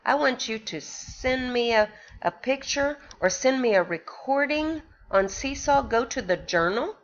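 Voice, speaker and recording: A woman reads a rhyming picture book to little children in a slow, sing-song teacher's voice. Her pitch swings widely as she stops to ask the kids questions. The recording sounds dull and lo-fi. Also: expressive. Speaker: pre-K teacher. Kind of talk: reading a picture book aloud to young children.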